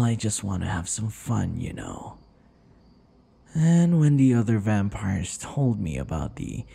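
Man acting a breathy, low-voiced seductive vampire. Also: dark tone, heavily acted. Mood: seductive, purring. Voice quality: breathy, often dropping into whispers. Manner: teasing, theatrical, drawn-out taunting with chuckles. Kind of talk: ASMR audio roleplay, intimate acted monologue.

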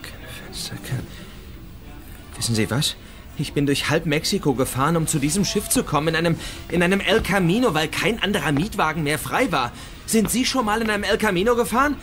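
A man's voice: a little high, expressive, a bit bright. An actor playing a flustered, easily exasperated male cruise passenger who stammers and halts, in naturalistic radio drama style. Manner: stammering, halting. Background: music.

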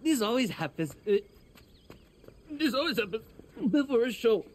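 Eager, high-voiced young sidekick guy. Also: sing-song, moderate pace, slight background noise. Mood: sheepish. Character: eager, sheepish young male rebel sidekick. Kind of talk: video game cinematic acting.

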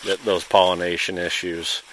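A man's voice: low voice, flat delivery, moderate pace, fairly bright tone, slight background noise, low-quality recording. A man with a Midwestern American accent talks casually and unscripted as a farmer showing his field, in an understated, hedging way, recorded outdoors on a handheld camera. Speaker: farmer hosting a field video. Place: outdoors in a cornfield. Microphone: handheld camera or phone, operator behind it.

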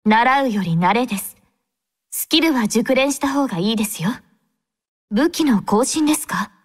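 A woman voicing a devout young female android squad captain in an anime game, speaking formally and with gentle composure. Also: a little high, expressive, moderate pace, breathy edge. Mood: calm, courteous. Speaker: game character voice actor.

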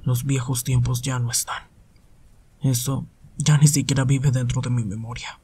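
A man playing a tired, gloomy, reserved hero teacher in an anime roleplay, with a subdued, breathy voice. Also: mid-range voice, little pitch movement, moderate pace, dark tone. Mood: weary, irritated. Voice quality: husky, breathy. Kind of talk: anime fan-dub ASMR roleplay.

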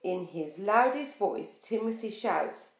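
Slow, echoey woman reading to small children. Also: medium pitch. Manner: expressive, sing-song, questioning the young listeners. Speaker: preschool storyteller. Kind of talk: picture book read-aloud for young children. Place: small bare room indoors.

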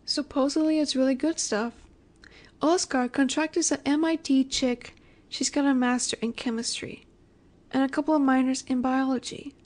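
A woman playing a flirty, menacing, self-assured mafia boss in an audio roleplay, speaking coyly and deliberately. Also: high-pitched, steady tone, darkish tone, lightly acted. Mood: composed, sly. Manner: coy, teasing, deliberate, sometimes breathy. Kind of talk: scripted audio roleplay, addressing the listener.

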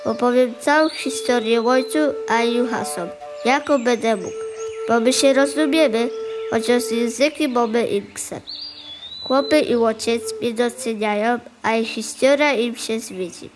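High-voiced clever young sheepdog played by a woman. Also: measured pace, over music, lightly acted. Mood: reassuring. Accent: Polish Goral highland. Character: kind, clever young male sheepdog, eager helper. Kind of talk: audio play dialogue in dialect.

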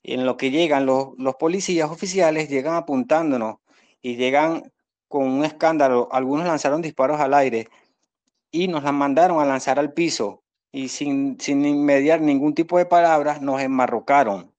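A young man with a Venezuelan accent and a slightly high voice gives spontaneous testimony on a video call. He explains himself earnestly and repeats himself as he pleads his case as a defendant. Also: moderate pace, darkish tone. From Venezuela, born in Caracas. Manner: earnest, repetitive explaining with apologies to the judge. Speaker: defendant speaking at a court hearing. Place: indoors against a plain wall, on a video call. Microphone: phone at arm's length on video call.

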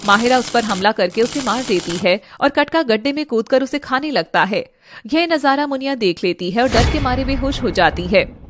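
A woman's voice: on the high side, a bit bright, a little hiss or hum. A woman narrator reading a scripted story in a dramatic storytelling style.

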